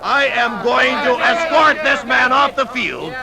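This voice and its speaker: An actor playing a giant, commanding sports hero who forcefully faces down an angry mob in a 1950s radio dramatization. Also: male voice, extremely high pitch, expressive, a little slow, heavily acted. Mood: stern, defiant. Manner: forceful, declamatory shouting. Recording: vintage 1950s radio recording with loud crowd. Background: roaring angry crowd.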